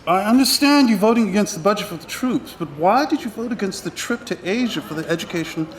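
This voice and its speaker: A man's voice: high-pitched, animated, fast talker, a little hiss or hum. An actor playing a condescending, exasperated male politician in an audio drama. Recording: faint background ambience.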